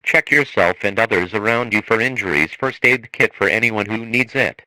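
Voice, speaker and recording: A man reading a scripted emergency broadcast in a formal, measured manner. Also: fairly low pitch, lively intonation, sharp tone, no room sound, low-quality recording. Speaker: emergency alert announcer.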